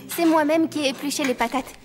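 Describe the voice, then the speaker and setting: A woman playing an earnest young orphan girl with dreams of dancing, in an animated series. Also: sits high, fairly quick, crowd noise, lightly acted. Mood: polite. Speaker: voice actor. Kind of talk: French animated series dialogue.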